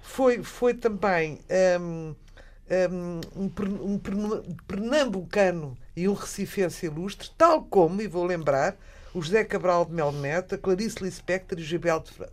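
A Portuguese woman in her sixties with a European Portuguese accent, chatting about books in an animated, halting way. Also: lowish voice. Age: 60s. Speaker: radio book show co-host. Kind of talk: casual literary chat.

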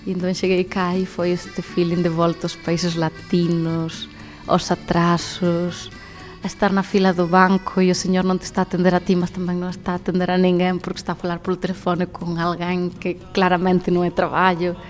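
Galician woman, twenties, lively and laughing, faint music bed. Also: on the low side, moderate pace. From Galicia, Spain. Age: 20s. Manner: lively, laughs often, mixes Portuguese and Galician. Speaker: guest. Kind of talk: radio testimony.

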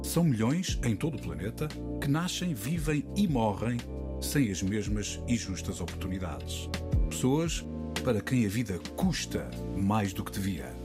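Expressive male narrator over music. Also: fairly low pitch, measured pace. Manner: solemn, expressive reading. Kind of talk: scripted radio narration. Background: background music.

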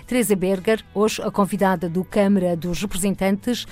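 A woman's voice: medium pitch, fairly even intonation, a little mellow. Woman, TV presenter, formal scripted read, faint music underneath. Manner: measured, formal delivery. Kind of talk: scripted news narration.

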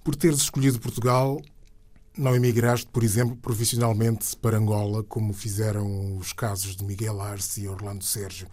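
Husky, mellow man hosting a radio interview. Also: fairly low pitch, level tone. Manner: formal, literary, deliberate phrasing. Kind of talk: measured, scripted radio interview presenting.

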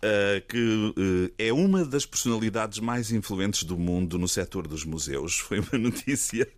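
A man with a European Portuguese accent hosting a relaxed radio interview, chatty, laughing often and searching for words. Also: lowish voice, normal speed, no room sound. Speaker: radio host.

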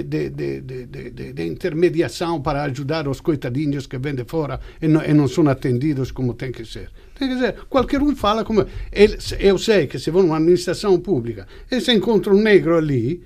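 A man with a notably high voice full of creak speaks with an Italian accent, being a native Italian speaker. He argues insistently and off the cuff as a panel participant, repeating words as he looks for the next one. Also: darkish tone. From Italy. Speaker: panel discussion participant, actor. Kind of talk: spontaneous debate.

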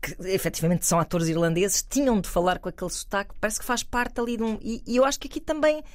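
A woman's voice: medium pitch, quick pace, no room sound. A woman, talking through her view in a casual panel discussion, thoughtful and hedging with many fillers. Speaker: panel commentator.

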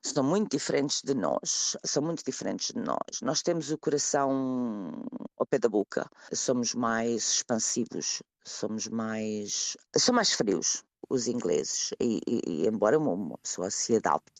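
A woman's voice: extremely low pitch, lively intonation, a little slow, no echo. A middle-aged woman from Portugal with a European Portuguese accent, answering interview questions thoughtfully, often repeating words as she searches for them. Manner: hesitant, repeats words while thinking. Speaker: guest, emigrant.

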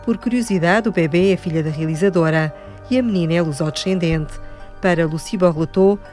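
A woman reporter narrates a radio culture piece from a script, her voice sitting lowish and steady. Soft music plays faintly beneath her. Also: a little fast.

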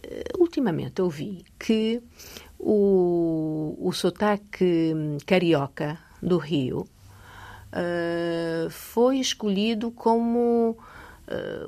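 A woman's voice: mid-range voice, a little mellow. A middle-aged woman from Poland, a native Polish speaker with a European Portuguese accent, talking thoughtfully as a radio interview guest with hesitant restarts.

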